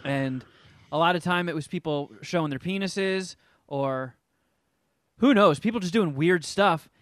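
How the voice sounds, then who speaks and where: A man's voice: a little high, measured pace, fairly bright tone, no echo, clean studio sound. A man hosting a podcast, casually rambling through a story with swearing. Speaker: podcast host.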